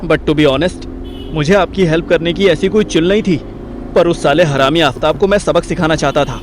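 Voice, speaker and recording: A man's voice: fairly high pitch, normal speed, background noise, lightly acted. An actor playing a reluctant, sarcastic, foul-mouthed young man who grumbles and wisecracks in a Hindi audio drama. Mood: wry.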